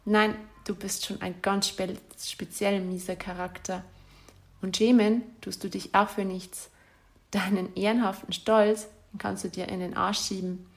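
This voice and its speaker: Gravelly woman reading a bitter letter. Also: medium pitch, flat delivery, measured pace. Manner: bitter and accusatory, insulting. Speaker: spurned ex-partner. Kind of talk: reading out a self-written letter to an ex-partner.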